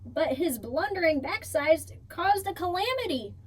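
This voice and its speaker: A woman reading a picture book aloud for children in an expressive storytelling style, drawing out key words. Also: very high voice, unhurried. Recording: distant mic, faint background noise.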